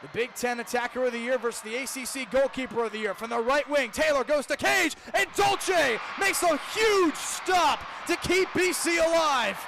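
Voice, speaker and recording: Very high-pitched young male sports play-by-play announcer. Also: moderate pace, hardly any pauses. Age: young adult. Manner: detailed running description with dramatic phrasing. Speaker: play-by-play broadcaster. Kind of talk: live sports radio commentary. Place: outdoors at a lacrosse stadium. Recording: clear outdoor stadium noise behind.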